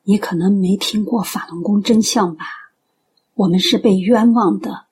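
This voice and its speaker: An actress playing a gentle, middle-aged believer in a radio drama, earnestly trying to persuade. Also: female voice, mid-range voice, moderate pace, slightly rough, lightly acted. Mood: earnest persuasion.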